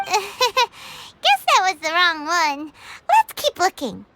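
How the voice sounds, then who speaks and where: A woman voicing an excitable, chatty little fairy companion in a video game, with a bouncy, squeaky delivery. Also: heavy background noise, heavily acted. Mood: eager. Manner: bouncy, squeaky, quick exclamations. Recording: game audio with loud background music.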